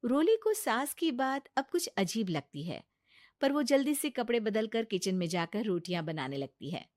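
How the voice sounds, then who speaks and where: A woman narrating a cartoon story in an even storytelling manner. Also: a little high, normal speed, no room sound, clean studio sound.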